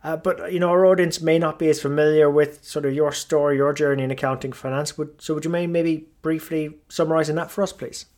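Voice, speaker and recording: A man's voice: on the high side, fairly even intonation, brisk, hardly any pauses, a little mellow. Male podcast host, friendly. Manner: friendly, with some fillers. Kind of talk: podcast intro and interviewing.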